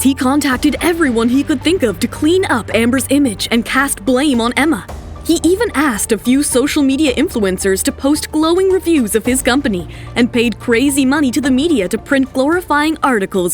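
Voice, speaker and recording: A woman's voice: high voice, expressive, moderate pace, soft background music. A woman narrating a scripted romance audio drama.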